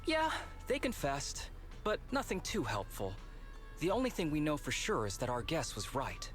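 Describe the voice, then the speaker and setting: A woman's voice: deep voice, huge pitch swings, normal speed, faint music behind. A woman voicing a solicitous, easygoing young squad companion in an anime-style game. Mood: admiring, casual.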